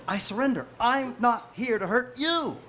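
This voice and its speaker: A man's voice: very high voice, lively intonation, a little slow, a bit bright, full character voice. A man playing an earnest, commanding starship admiral in a theatrical parody reading. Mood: alarmed. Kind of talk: theatrical parody stage acting. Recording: live stage recording with clear noise.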